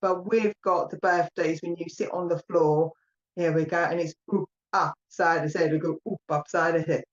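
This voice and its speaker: A woman with an Essex accent giving a live psychic reading, chatty and rambling, talking warmly to viewers by name. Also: on the low side, steady tone, normal speed, highs cut off. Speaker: psychic medium. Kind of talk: live online mediumship reading.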